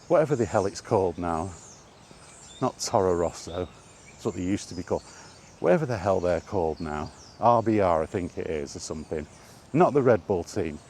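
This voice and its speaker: A man's voice: fairly low pitch, darkish tone. Exasperated British man over outdoor noise. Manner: exasperated, sweary, repetitive rhetorical questions. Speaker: gaming vlogger. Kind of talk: off-the-cuff rant while out walking. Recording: outdoor recording with clear background noise.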